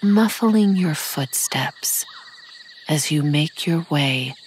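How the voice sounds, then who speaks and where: A woman narrating a scripted guided meditation in a soft, breathy, half-whispered, soothing delivery. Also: sits low, lively intonation, takes it slowly, slight background noise. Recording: nature ambience with insects behind voice.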